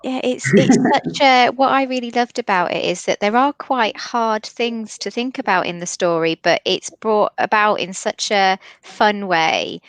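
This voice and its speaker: A woman's voice: mid-range voice, no room sound. A woman hosting a conversational interview, encouraging and affirming as she responds and asks questions. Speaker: host interviewer from a Pride organisation.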